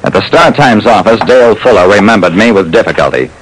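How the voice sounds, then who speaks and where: Actor as a wry, animated hard-boiled private eye. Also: male voice, lowish voice, quick pace, lightly acted. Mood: dry, wry. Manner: wry, dramatic, clipped storytelling. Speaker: narrator and lead detective. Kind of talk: vintage radio detective narration. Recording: old radio recording with faint noise.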